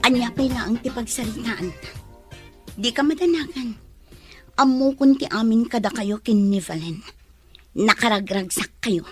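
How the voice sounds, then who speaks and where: Woman acting a plaintive young mother, high, rough-edged voice. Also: measured pace, pauses often, soft background music. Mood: bitter, reproachful. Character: hurt, betrayed young mother who just gave birth, Megan. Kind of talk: Ilocano audio drama acting.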